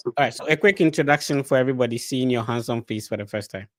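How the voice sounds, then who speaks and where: A man's voice: medium pitch, fast, fairly bright tone, dry recording, clean studio sound. A man hosting a casual live interview over an online video call. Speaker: host of an online interview show.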